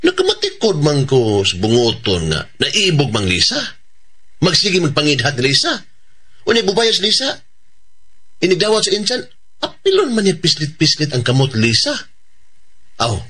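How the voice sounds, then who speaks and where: A man's voice: a little high, bright, forceful tone, husky, full character voice. A man with a Cebuano Filipino accent playing a cheerful hired killer in a radio drama, deferential to his bosses and chuckling between sentences. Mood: amused, boastful.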